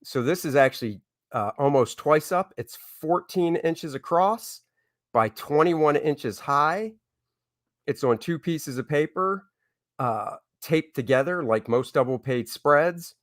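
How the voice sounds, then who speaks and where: A man's voice: fairly high pitch, lively intonation, takes it slowly, fairly bright tone, dry recording, studio quality. A man hosting a casual livestream as a comic book artist, chatting informally with viewers and reading out their comments.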